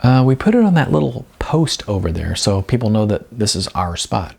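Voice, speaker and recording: A man voicing a hesitant, dry, reassuring young woman in an audiobook, in a low-key manner. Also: very animated, normal speed, creaky, darkish tone, breathy edge, exaggerated voice. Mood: hesitant, mild.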